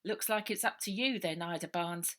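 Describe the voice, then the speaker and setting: A woman voicing a bossy, cheerful working-class girl from a gang in a children's storybook, brisk and colloquial. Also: on the low side, a bit bright, lightly acted. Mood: brisk, friendly.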